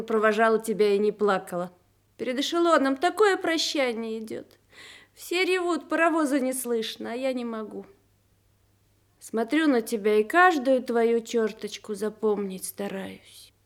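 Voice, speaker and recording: An actress playing an emotional, nostalgic middle-aged officer's wife and mother in a Soviet radio drama. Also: fairly high pitch. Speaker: actor.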